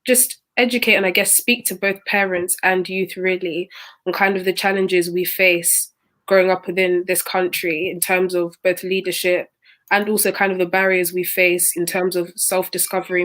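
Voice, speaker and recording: A woman's voice: lowish voice, fairly bright tone. A woman, a student introducing herself on a panel in a composed, articulate way. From England. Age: 20s. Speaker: student and youth chair.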